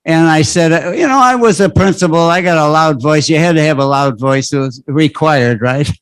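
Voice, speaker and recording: A man's voice: fairly high pitch, normal speed, clean tone, dry recording. An older man, a retired school principal, speaking at a community panel on a handheld mic, rambling with frequent restarts. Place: library event room. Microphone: handheld microphone.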